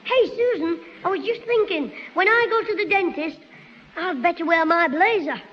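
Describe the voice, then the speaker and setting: A cheeky, boastful schoolboy with a very high, piping voice. He fires off quick-witted punchlines in 1960s radio-comedy style. The sound is dull and old, with a long hall echo behind it. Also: slight background noise.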